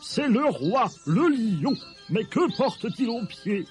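A man voicing a kindly, excitable female flying character in a children's story. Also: very high-pitched, takes it slowly, soft background music, pitched above the performer's own voice, heavily acted. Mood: concerned, curious.

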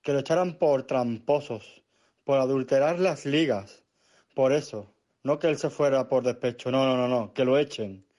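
Dark-voiced man from Spain, listener message. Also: mid-range voice, normal speed.

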